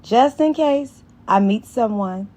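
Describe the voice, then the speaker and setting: Woman reading as a lively, confident young teacher. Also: a little high, slow pace, pitched above the performer's own voice. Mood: playful. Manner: lively, playful, assertive. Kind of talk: dramatized audiobook dialogue.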